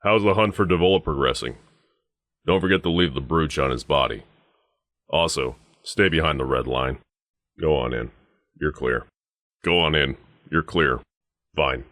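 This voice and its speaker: An actor playing a stern, grim wasteland deputy sergeant in a video game, speaking in a terse, measured, guarded military way. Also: male voice, rumbling low, fairly bright tone, dry recording, studio quality. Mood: gruff, commanding. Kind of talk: scripted video game dialogue.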